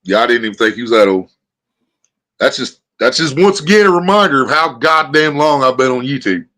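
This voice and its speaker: A man's voice: mid-range voice, animated, brisk, pushed and bright. A man hosting a livestream. He chats casually and tells rambling stories full of swearing. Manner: profane, rambling storyteller. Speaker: livestream host.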